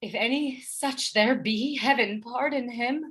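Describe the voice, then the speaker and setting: A woman playing a gentle, wronged young noble wife in a Shakespearean radio play, plaintive and earnest. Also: on the high side, a little slow. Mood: sorrowful.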